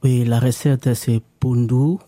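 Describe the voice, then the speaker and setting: A man's voice: medium pitch, fairly even intonation, warm tone, dry recording. A man from the Congo, a native speaker of Lingala, talking hesitantly as a guest on a radio show. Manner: hesitant, halting speech. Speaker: guest volunteer. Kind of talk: radio conversation.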